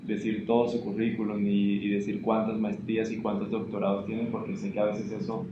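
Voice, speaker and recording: A man's voice: on the low side, little pitch movement, fairly quick. A man, politely and somewhat hesitantly hosting an informal interview. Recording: muffled, echoey, noisy room recording.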